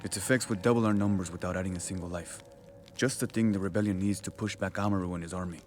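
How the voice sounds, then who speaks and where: A man playing a grave, proud rebel warrior leader who speaks formally and deliberately in a video game cutscene. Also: deep voice, fairly even intonation, natural voice. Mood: grave, regretful. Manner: formal, deliberate, few contractions. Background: faint background music.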